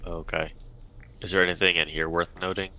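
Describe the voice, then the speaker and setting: A man's voice: low-pitched, level tone, brisk, bright, forceful tone. A man playing a tabletop roleplaying game, casually stating what his character does.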